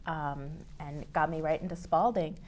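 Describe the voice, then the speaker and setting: A young woman, a guest on a podcast, telling lively stories. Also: low voice, moderate pace. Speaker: guest, runner. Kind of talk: casual podcast chat.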